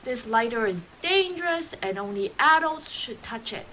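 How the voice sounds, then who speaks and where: High, bright woman presenting for kids. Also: normal speed, slight background noise, poor audio. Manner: expressive, addressing young children. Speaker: co-host of children's show. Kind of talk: scripted children's show presenting.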